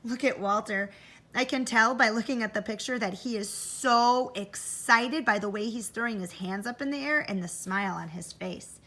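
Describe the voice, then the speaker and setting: A woman's voice: on the high side, normal speed, sharp tone, a little hiss or hum. A woman teaching first grade, giving an interactive read-aloud for children, thinking aloud expressively and addressing young listeners directly.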